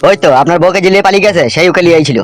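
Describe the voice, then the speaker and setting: গ্রামবাংলার টানে একজন পুরুষ কার্টুনে এক লোভী, মুখখারাপ, টিটকিরি-মারা ছোকরা চ্যালার গলা দিচ্ছে।. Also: male voice, high voice, steady tone, racing, soft background music, close to an everyday voice. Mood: urgent. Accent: rural West Bengali. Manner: jeering, swaggering. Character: mocking, greedy young henchman, crude prankster. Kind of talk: amateur comedy cartoon dub.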